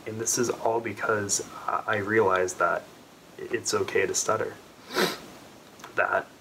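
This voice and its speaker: Young man who stutters, slow and low. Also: a bit bright, a little hiss or hum, low-quality recording. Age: 20s. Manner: stutters, with repeated sounds and restarts. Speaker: vlogger. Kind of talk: heartfelt personal talk to camera. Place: small bedroom, indoors. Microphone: camera in front at close range.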